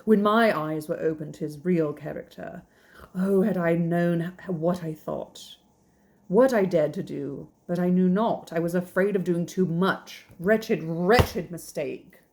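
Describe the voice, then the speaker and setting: A distraught young woman, agitated and pleading, her words spilling out in despair, as a woman reads her lines aloud. The voice sits a little low for a woman and carries the worry of bad family news. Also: a little slow, darkish tone. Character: distraught young woman, sister has eloped.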